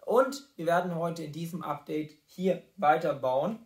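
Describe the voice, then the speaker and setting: A man with a somewhat high voice, audibly hoarse from a cold. He hosts his vlog in a casual, chatty way and talks through his build. He often corrects himself mid-sentence. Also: measured pace. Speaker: vlog host and model builder. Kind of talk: casual chat and building voice-over.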